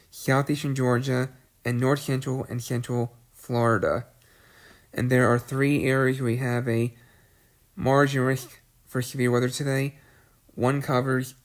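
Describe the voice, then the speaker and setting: A man who has a cold and sounds congested, giving a weather briefing, often clearing his throat and restarting phrases. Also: mid-range voice. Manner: reads long lists of places in a level voice. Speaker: weather video host.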